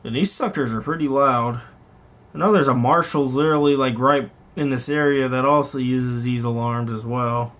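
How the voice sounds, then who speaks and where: A man with a medium-pitched voice talks casually as a hobbyist vlogger. He rambles conversationally through what he is showing, unscripted, correcting himself along the way. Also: moderate pace, fairly bright tone. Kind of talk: casual unscripted show-and-tell commentary on online listings.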